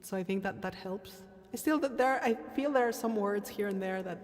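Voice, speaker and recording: A woman's voice: mid-range voice, fairly quick, a little mellow. Mexican lady chatting on her live stream, spanish is her first language, lots of restarts and repeats. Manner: chatty and candid, many restarts and repeated words. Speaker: singer hosting a live stream. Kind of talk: casual live-stream chat with viewers.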